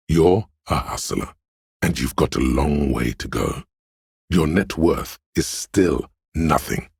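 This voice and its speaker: A man voicing a slick, smug, money-minded inner voice in a video game, speaking in a suave, deliberate, theatrical way. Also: dry recording, studio quality, heavily acted. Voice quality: very deep, gravelly, husky. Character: slick hustling inner voice of a detective, smugly money-obsessed. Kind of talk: scripted game narration, theatrical and measured.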